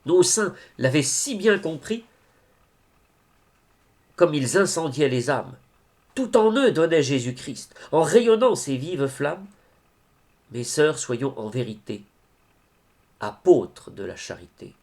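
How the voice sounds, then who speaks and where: A man reciting religious poetry in a solemn, declamatory way, phrase by phrase with deliberate pauses. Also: fairly high pitch, big pitch swings, darkish tone. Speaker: reader of devotional poetry.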